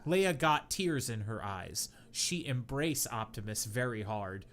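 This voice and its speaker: A man co-hosting a comedy podcast, reading bad fanfiction aloud in a deadpan voice that keeps cracking into laughter. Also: medium pitch, a little slow. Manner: reads deadpan, breaks into laughter.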